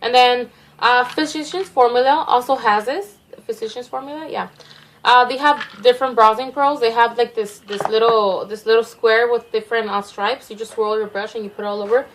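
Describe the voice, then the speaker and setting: Young mexican-accented woman doing a makeup tutorial, kinda high voice, rambly and chatty, bit of hiss on the camera mic. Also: fairly even intonation, fairly quick, fairly bright tone, poor audio. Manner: chatty, self-conscious, rambling with restarts. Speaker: makeup vlogger.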